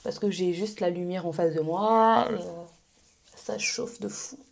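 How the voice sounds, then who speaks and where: A woman's voice: medium pitch, unhurried, vocal fry. A young adult woman vlogging casually to camera, rambling with fillers and restarts. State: stuffy from pollen allergy. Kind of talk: casual vlog chat to camera. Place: bedroom at home, then small residence gym.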